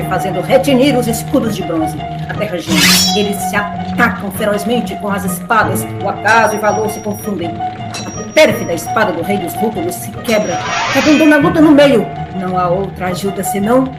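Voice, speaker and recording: A woman with a Brazilian accent playing a cheeky, excitable storyteller narrating a comic radio drama. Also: mid-range voice, natural voice. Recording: roomy echo, music behind.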